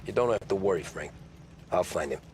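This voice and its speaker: An actor playing a modest young male mobster driver who talks in a low-key way. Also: expressive, brisk, darkish tone. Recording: game audio with clear background noise.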